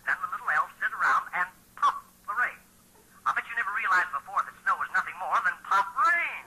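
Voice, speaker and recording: A man's voice: sits high, animated, quick pace, sharp tone, slightly rough, heavily acted, rough recording. A man playing a teasing bowling pal who poses as a weather forecaster, hammy and mock-official, in 1940s radio comedy. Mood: jokey, hammy.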